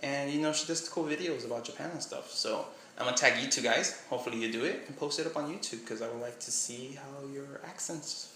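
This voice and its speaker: A man's voice: mid-range voice, fairly quick, hardly any pauses, bright, forceful tone, reverberant, a little hiss or hum, rough recording. A young man from Miami with a slight Miami accent, chatting casually in a vlog. He rambles and trails off with "I don't know.". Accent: slight Miami English. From Miami, USA. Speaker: vlogger. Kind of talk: casual vlog chat, short read-aloud passage.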